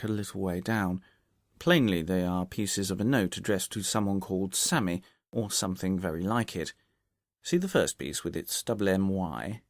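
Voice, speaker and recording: Storybook detective, voiced by a male reader: calm gentleman, methodical, deeper register, dry studio sound. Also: no room sound. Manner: measured, precise, explanatory.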